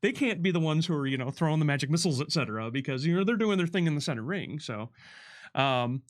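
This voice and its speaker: A man's voice: fairly high pitch, animated, quick pace. A man in casual conversation as a game developer, explaining and answering questions, rewording carefully as he thinks aloud. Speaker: game developer giving commentary.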